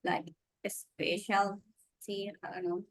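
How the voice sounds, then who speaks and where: A woman's voice: mid-range voice, level tone, slow, creaky, no echo. A woman student from El Salvador answering the teacher in short, tentative replies. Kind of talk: answering in class.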